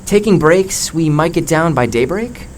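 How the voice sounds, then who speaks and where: A man's voice: fairly high pitch, expressive, unhurried, a little hiss or hum. An actor playing a stubborn, worn-out man leading a rescue in an audio drama. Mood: tired, resigned. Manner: obstinate, worn-out.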